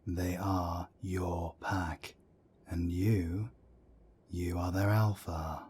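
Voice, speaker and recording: A man performs a calm werewolf mentor for an audio drama. His voice is deep, dark and husky, with a soft breathy edge. He speaks in a measured, reassuring way, like a firm older councillor advising a friend. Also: full character voice. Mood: earnest, insistent. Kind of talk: scripted roleplay audio drama.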